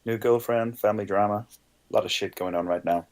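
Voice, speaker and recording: A man's voice: on the low side, fairly even intonation, a little fast, a little mellow, natural voice. A man playing a drained, concerned carer in a plain, conversational screenplay table read. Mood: weary, guarded. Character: Kai, drained, concerned man caring for a grieving friend.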